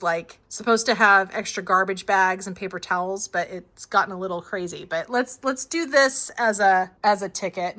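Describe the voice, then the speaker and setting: A hoarse woman vlogging casually as she walks through her family house, chatty and rambling, laughing now and then. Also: medium pitch, pushed and bright. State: hoarse from a long cough.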